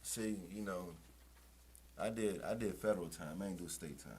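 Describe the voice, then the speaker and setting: A man's voice: low voice, moderate pace, darkish tone, breathy edge. A man being interviewed as a rapper, talking in a boastful, profane, streetwise way and leaning on "you know what I'm saying" as he talks. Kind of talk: casual interview chat.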